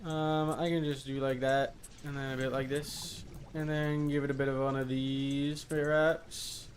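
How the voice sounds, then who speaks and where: A man's voice: mid-range voice, flat delivery, unhurried. Male game streamer over faint noise. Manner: muttering asides to game characters. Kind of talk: casual live game commentary.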